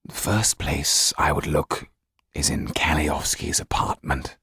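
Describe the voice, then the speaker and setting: A man voicing a weary, dignified old lawyer in a breathy, husky, halting voice for an audiobook. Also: a bass voice, sharp tone, no echo, whispered, clean studio sound, heavily acted. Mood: grave, confiding.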